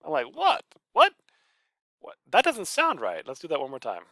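A man's voice: a little high, wildly swooping pitch, normal speed, sharp tone, no echo, studio quality. A man giving a casual screencast tutorial, talking informally through his steps aloud. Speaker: college professor and author giving a software tutorial.

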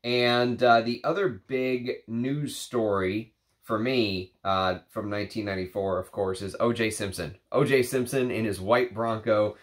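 A man with a fairly low voice talks to camera in an easy, rambling way, leaning on plenty of ums and uhs. He sounds congested and a bit nasal from a cold. It is recorded at his desk at home. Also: fairly even intonation, a little slow. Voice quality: slightly nasal. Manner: chatty, rambling, frequent fillers. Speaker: perfume reviewer. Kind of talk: casual to-camera talk. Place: home room with shelves of perfume bottles.

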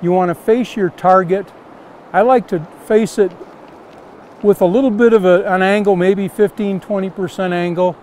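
Older man, high voice, plain step-by-step teaching, outdoors in a river, faint ambient noise. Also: steady tone, moderate pace, darkish tone. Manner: plain, repetitive step-by-step instruction. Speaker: fly fishing instructor. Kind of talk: outdoor casting lesson.

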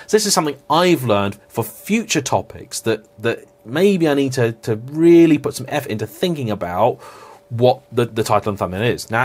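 A man talking to camera in an unscripted vlog, reflective and apologetic, with frequent restarts and hedging fillers. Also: medium pitch. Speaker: car vlogger.

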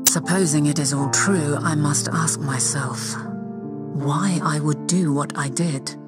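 A woman playing a composed, resolute ancient scholar in a game cutscene, speaking in a measured, formal way as she reasons. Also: deep voice, over loud music. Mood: pensive, measured. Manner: measured, deliberate, formal diction. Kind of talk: scripted game cutscene acting.

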